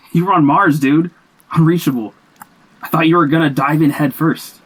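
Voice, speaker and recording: An actor playing a cocky, dismissive young slacker with casual, slangy speech in a horror audio drama. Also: male voice, on the high side, fairly even intonation, moderate pace, husky, rough recording. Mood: teasing.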